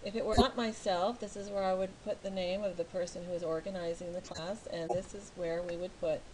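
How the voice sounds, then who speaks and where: A middle-aged American woman from California, a teacher talking slowly and patiently through each step of filling in a form online. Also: on the low side, fairly even intonation, background noise. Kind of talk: screen walkthrough tutorial.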